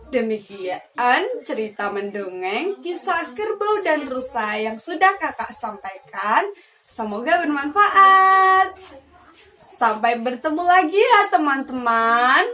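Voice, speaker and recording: A woman's voice: high voice, very animated, takes it slowly, sharp tone. A young woman telling a children's story expressively, like a teacher. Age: young adult. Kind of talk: animated children's storytelling with questions.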